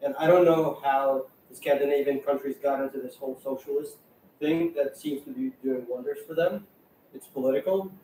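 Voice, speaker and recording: A man's voice: mid-range voice, moderate pace, mellow. A man thinking aloud with fillers in a casual group discussion. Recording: echoey room, distant mic, faint hum.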